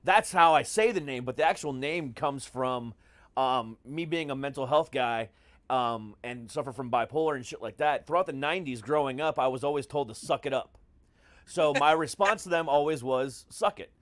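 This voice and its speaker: A man's voice: medium pitch, moderate pace, a bit bright, dry recording. Brash American man hosting a podcast, dry close sound. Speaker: podcast host. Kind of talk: casual, profane podcast talk.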